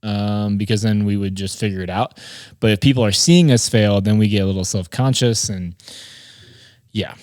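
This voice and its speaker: A man's voice: lowish voice, moderate pace, a little mellow, no echo. A man hosting a podcast, giving an informal, conversational motivational talk on business and addressing listeners directly. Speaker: podcast host and marketer.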